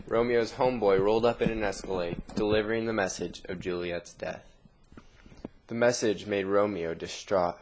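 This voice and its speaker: A man reading a scripted story narration with dramatic pauses, in a noisy amateur recording. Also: on the low side.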